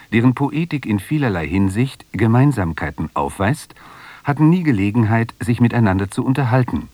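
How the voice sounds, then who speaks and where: A man's voice: mid-range voice, a little mellow, no echo. A man announcing a radio programme in formal, scripted broadcast narration. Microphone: close studio microphone.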